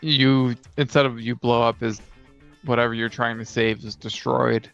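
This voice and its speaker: A man with a creaky, frying voice at middling pitch chats casually and pauses to find his words. The recording is a little dull, and he sounds like a player talking over game ideas. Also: flat delivery, a bit bright. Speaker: podcast co-host and tabletop player. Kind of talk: casual chat about fantasy tabletop games. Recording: dull lo-fi sound with faint music. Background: faint guitar music.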